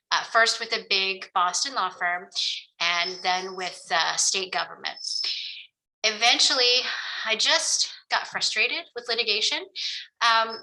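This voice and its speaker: A woman's voice: medium pitch, pushed and bright, rough recording. A woman from Florida, an estate planning lawyer earnestly explaining a webinar with frequent ums. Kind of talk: webinar presentation.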